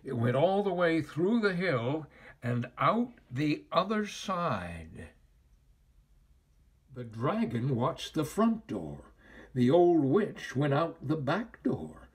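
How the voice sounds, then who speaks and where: An older man narrates a children's read-aloud in a theatrical storyteller style. His husky voice moves slowly and deliberately, with big expressive swings in pitch.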